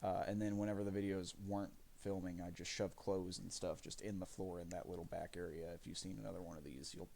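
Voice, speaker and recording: A man live streaming from his home desk, his voice raspy from phlegm, rambling casually with many fillers and restarts. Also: deep voice, flat delivery, fast talker, hardly any pauses, dark tone, a little hiss or hum. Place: desk corner of a home living room.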